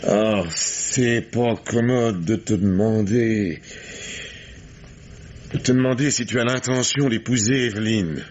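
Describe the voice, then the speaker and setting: An actor playing a weary middle-aged husband who has been drinking and talks in a hesitant, rambling, self-pitying way, in an old radio play. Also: male voice, lowish voice, slow pace, a little mellow, slight background noise. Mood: rueful. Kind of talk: 1950s French radio drama acting. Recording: muffled old radio broadcast.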